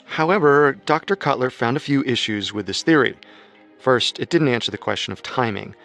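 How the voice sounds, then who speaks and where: A man's voice: on the low side, gravelly, a bit bright. Man narrating true crime. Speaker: narrator and co-host.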